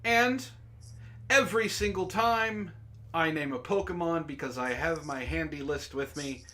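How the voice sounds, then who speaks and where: A man's voice: on the high side, unhurried, sharp tone. A man hosting a casual group livestream with informal, sweary banter. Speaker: host.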